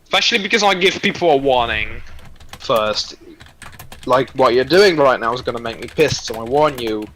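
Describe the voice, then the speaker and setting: A man's voice: mid-range voice, lively intonation, normal speed, fairly bright tone. A man chatting casually on a stream in a blunt, rambling, sweary way. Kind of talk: casual chat.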